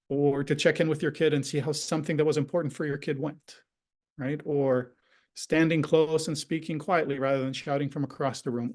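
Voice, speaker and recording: A man's voice: fairly high pitch, darkish tone. A man whose first language is Hebrew, giving a conversational online parenting workshop talk over a video call and often checking in with "right?". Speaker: parenting educator.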